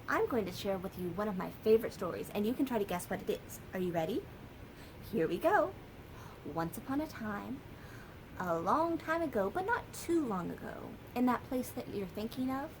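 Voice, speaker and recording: An American woman, a children's librarian, telling a story and sharing books for kids in a lively, sing-song way. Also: mid-range voice, normal speed, slight background noise, rough recording.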